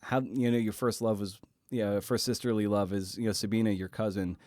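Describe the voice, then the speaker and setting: Low-voiced man, halting podcast interviewer. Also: flat delivery, darkish tone, dry recording, studio quality. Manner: halting, rambling questions with repeated words. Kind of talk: conversational literary podcast interview.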